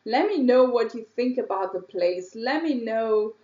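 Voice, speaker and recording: Woman reaction host, slightly high voice, echoey room, muffled audio. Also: lively intonation, measured pace, dark tone. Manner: curious, asks viewers questions. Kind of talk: reaction commentary.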